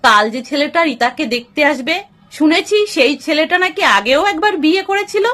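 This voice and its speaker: A woman's voice: sits high, expressive, moderate pace, a bit bright. A woman voicing a timid, anxious mother who questions worriedly in a narrated story. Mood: fearful worry. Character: timid, anxious, protective mother of a grown daughter.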